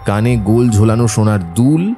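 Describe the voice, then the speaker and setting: Mellow, thoughtful male storyteller. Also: fairly low pitch, expressive, soft background music. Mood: thoughtful, recalling. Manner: measured, descriptive storytelling. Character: thoughtful, observant male companion narrating a detective tale. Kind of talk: scripted narration and audio drama acting.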